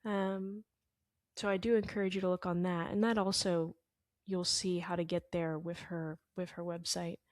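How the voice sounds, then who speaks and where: Podcast host: woman, gentle and hesitant interviewer, dry close recording. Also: lowish voice, flat delivery, moderate pace, dry recording. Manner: gentle, hesitant with fillers when asking questions. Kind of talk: interview conversation.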